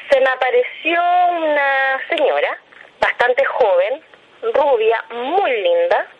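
A woman's voice: on the high side, a little hiss or hum. A Chilean woman recounting a personal experience in an interview. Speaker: interviewed hospital night-shift worker. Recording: dull, lo-fi recorded interview.

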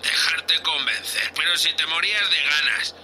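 A man's voice: a little high, moderate pace, sharp tone, soft background music. A man with a Spain Spanish accent playing a drunk, sulky, insolent spaceship crewman in a sci-fi audio drama, complaining petulantly and sarcastically. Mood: sulky, resentful.

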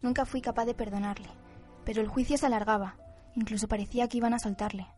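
A woman's voice: fairly high pitch, fairly even intonation, fast, darkish tone, faint music behind, natural voice. A woman playing a bereaved young woman devoted to a vigilante, speaking earnestly in a radio drama. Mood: fervent, grateful. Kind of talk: radio drama adaptation of anime.

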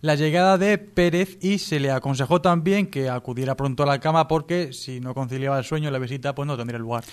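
A man's voice: medium pitch, quick pace, few pauses, smooth. A man with an accent from Spain reads comic news as a radio co-host, in an informal, joking manner. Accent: Spanish (Spain). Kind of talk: comic news reading with banter.

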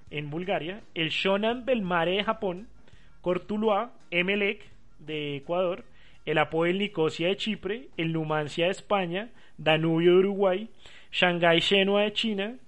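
A man talking football casually with co-hosts, chatty and quick to laugh. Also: sits high. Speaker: sports talk host. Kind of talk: casual sports chat.

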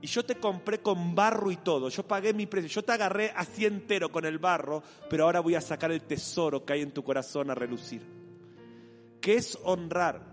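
Rioplatense male pastor, animated and playful sermon delivery, high-pitched, church hall with long reverb. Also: fairly quick, faint music behind. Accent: Rioplatense Spanish. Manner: animated, acts out voices for a playful imagined dialogue.

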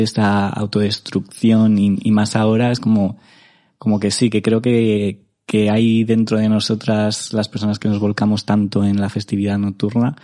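A man's voice: fairly low pitch, mellow. A young man from Madrid, chatting casually as a guest, hesitant and full of fillers and restarts. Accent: Madrid Spanish. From Spain. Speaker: guest photographer. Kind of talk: casual interview chat.

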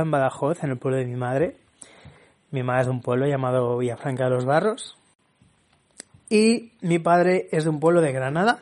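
A man's voice: mid-range voice, a little mellow. A young man from Barcelona with a Barcelona Spanish accent, hosting a casual solo podcast, chatty and laughing often as he restarts his words. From Spain. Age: young adult. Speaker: podcast host.

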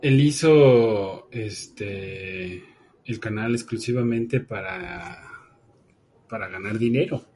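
A man's voice: lowish voice, animated, slow pace, warm tone, slight background noise. A man chatting as a podcast co-host, teasing and arguing in easygoing banter. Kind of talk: casual chat.

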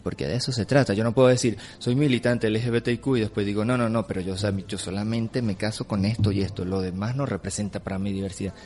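A man with a Venezuelan accent, an interview guest explaining his views emphatically and sometimes pausing to find words. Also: on the low side, fairly even intonation, darkish tone. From Caracas, Venezuela. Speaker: film festival director, interview guest. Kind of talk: spontaneous radio interview, opinionated explanation. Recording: clearly audible background noise.

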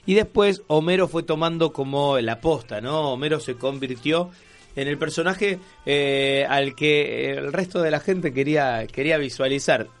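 A man's voice: a little high, moderate pace. An Argentine man hosting a casual radio magazine show, chatting in a jokey, rambling way. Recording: music playing under the voice.

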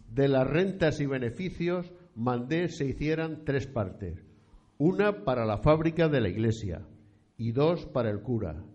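An actor playing a pious, stately eighteenth-century Spanish landowner in a radio play, speaking in a solemn, formal and courteous old-fashioned way. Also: male voice, medium pitch, a little mellow. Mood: reverent, nostalgic. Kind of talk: scripted historical radio play. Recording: dull, muffled lo-fi recording.

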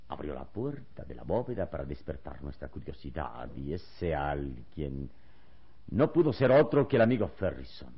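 Calm, wise adventure hero in vintage radio drama; very low voice, deliberate delivery, dull lo-fi recording. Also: male voice, heavily acted. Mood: grave, deliberate. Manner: calm, deliberate, reassuring elder to a boy. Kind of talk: vintage Spanish-language radio serial acting.

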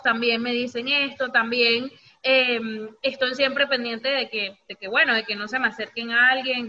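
A high-voiced woman with a Venezuelan accent chats casually in a bright, forceful tone, often acting out what people said. The recording is slightly dull and lo-fi, with faint noise behind her. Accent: Venezuelan Spanish. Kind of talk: casual conversational talk.

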